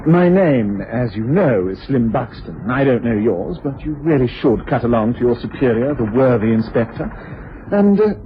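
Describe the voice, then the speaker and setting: A suave gentleman crook acted by a man with an upper-class English accent, urbane and coolly mocking in old radio drama style. His mid-pitched voice comes through a muffled recording with a light hiss. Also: lightly acted. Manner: urbane, smoothly condescending, leisurely upper-class phrasing. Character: impeccably cool, suave gentleman crook, mocking and self-assured. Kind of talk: vintage British radio drama acting.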